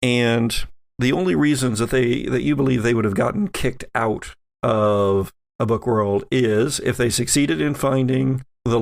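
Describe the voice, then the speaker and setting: A man narrating a tabletop roleplaying game as game master, in a halting, deliberate way. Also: mid-range voice, vocal fry.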